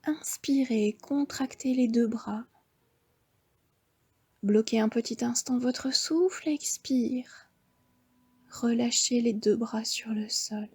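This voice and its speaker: A woman with a French accent leads a yoga nidra session, speaking very slowly and softly. Her voice is fairly high, mellow and breathy, often dropping into half-whispered breathing cues. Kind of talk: guided relaxation instruction.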